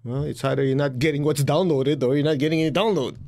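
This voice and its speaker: A man's voice: fairly high pitch, fairly even intonation, fast talker, darkish tone. A middle-aged man with a Chinese accent gives a blunt, direct spiritual talk as a teacher. He acts out the voices of the people he quotes and laughs at his own jokes.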